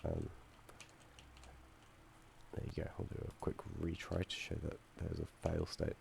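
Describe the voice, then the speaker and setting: Croaky young man walking through code. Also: a bass voice, completely flat delivery, measured pace, darkish tone, slight background noise. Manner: hesitant, many ums and restarts, dry asides. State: croaky from feeling unwell. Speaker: student presenting his game project. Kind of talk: screen-recorded code walkthrough.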